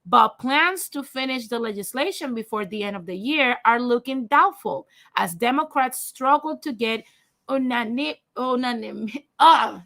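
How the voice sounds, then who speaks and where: A woman's voice: fairly high pitch, animated, measured pace, vocal fry, a bit bright. A young woman whose first language is Spanish, with a light Spanish accent, hosting a livestream and giving blunt, profane opinion commentary straight to her viewers, then reading a news article aloud. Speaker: livestream host.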